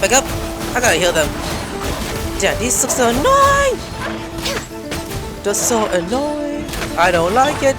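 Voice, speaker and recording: Jokey, blurting female gameplay commentator, very animated pitch, loud game music behind. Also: a little slow, pauses often. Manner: excitable, jokey, blurts reactions and acts out mock lines. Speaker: gaming video host.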